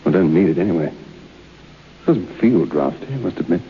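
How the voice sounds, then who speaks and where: A man's voice: deep voice, expressive, normal speed. An actor playing a skeptical, unafraid man in an old-time radio drama, muttering to himself in an understated way. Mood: puzzled, unbothered. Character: skeptical, unafraid man alone in haunted room. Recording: muffled, faintly noisy old radio broadcast.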